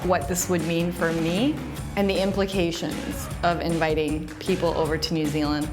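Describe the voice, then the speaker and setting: Candid woman with a lowish voice. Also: flat delivery, normal speed, music in the background. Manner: candid, rambling, self-deprecating. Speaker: agency coach and show host. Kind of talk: candid interview to camera.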